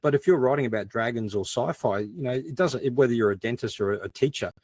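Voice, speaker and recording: Middle-aged male, Australian accent. Podcast guest, conversational explainer, mid-pitched, dry studio sound. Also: fast, a little mellow, slightly rough, no room sound. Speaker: podcast guest, ex-detective crime writer.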